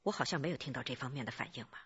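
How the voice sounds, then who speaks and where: Female company boss: brisk, bright, very husky, radio drama, slightly dull sound. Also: very deep voice, exaggerated voice. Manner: brisk, official, cooperative. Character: businesslike female general manager of cosmetics company. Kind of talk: Chinese radio drama acting. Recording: muffled band-limited radio drama audio.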